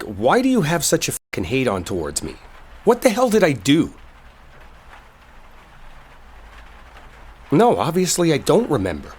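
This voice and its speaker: A man's voice: fairly high pitch, breathy edge, exaggerated voice. A man playing an exasperated, defensive coworker who is secretly smitten, in an emotional roleplay audio drama. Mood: angry, exasperated. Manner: emotional, pleading, emphatic, conversational. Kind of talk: roleplay audio drama, intimate one-sided dialogue.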